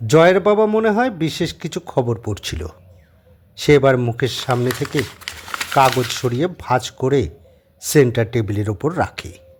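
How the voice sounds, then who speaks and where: A man with a husky voice reading scripted dramatic narration as the storyteller of an audio story. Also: mid-range voice, lively intonation, unhurried, a little hiss or hum.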